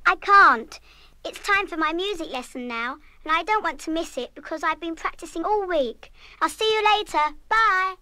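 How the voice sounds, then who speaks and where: A woman's voice: very high voice, animated, moderate pace, pushed and bright, dry recording, full character voice. An actress playing a headstrong, mischievous English schoolgirl in a radio play, plucky and well-spoken. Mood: eager. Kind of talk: full-cast children's radio play acting.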